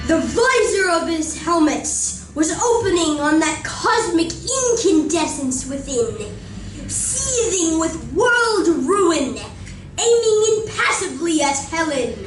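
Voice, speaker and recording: In a roomy space, some way from the microphone, a woman narrates a live radio drama. Her high voice moves with animated intonation as she reads slowly and theatrically. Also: soft background music. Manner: deliberate, theatrical. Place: library community room, live performance. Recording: roomy distant recording, faint noise.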